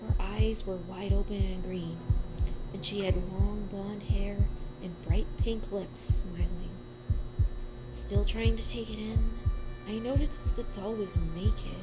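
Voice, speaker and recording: A woman's voice: medium pitch, fairly even intonation, slow, loud music behind the voice, rough recording. A woman giving a dramatic first-person reading of a horror story, with a suspenseful, emotive delivery.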